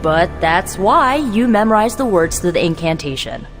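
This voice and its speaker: An actress playing a flippant, bossy young sorceress in a video game cutscene, talking in a slangy, animated way. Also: female voice, lowish voice, normal speed. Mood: brisk, sarcastic. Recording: music behind voice.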